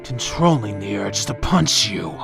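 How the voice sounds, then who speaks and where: A woman's voice: extremely low pitch, animated, measured pace, gravelly, over music, full character voice. A woman playing an irritable, brooding, sardonic villain boss in an audio drama, terse and exasperated.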